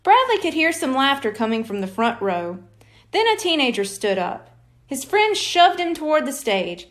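A woman narrating a children's book aloud in an expressive, deliberate storytelling manner. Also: high-pitched. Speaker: audiobook reader.

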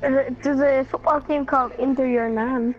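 Brief: young gamer, high voice, dull lo-fi sound, snappy comebacks. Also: female voice, fairly even intonation. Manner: argumentative, quick retorts. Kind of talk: casual banter while gaming.

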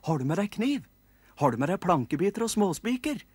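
A man voicing a crowd of excited little gnome children, shrill and clamoring. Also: husky, no room sound, exaggerated voice. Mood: eager. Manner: shrieking, clamoring.

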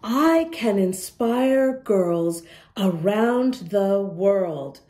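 Lady doing kids read aloud, really mellow voice, super lively up and down, encouraging, talks right to the little ones. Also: mid-range voice, very slow. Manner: encouraging, addresses young listeners directly with questions. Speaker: children's storytime reader and host. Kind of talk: expressive picture-book read-aloud to young children.

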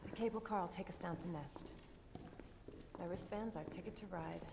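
A woman's voice: on the low side, moderate pace, creaky. Tough young woman operative, cool, guarded, dry delivery, game drama, cavernous reverb, noisy ambience. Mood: businesslike. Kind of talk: naturalistic video game acting.

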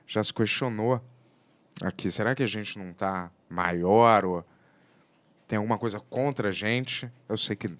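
A man with a Brazilian accent hosting a casual podcast chat, halting and rephrasing his questions as he goes. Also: lowish voice, fairly bright tone, no echo. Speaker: podcast host. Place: indoor podcast studio. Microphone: studio microphone on arm.

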